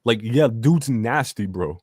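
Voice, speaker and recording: Male podcast panelist, mid-pitched and very dry, argumentative, emphatic, slangy fan talk. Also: lively intonation, slow, darkish tone, breathy edge, no echo. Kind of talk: casual fan debate about anime.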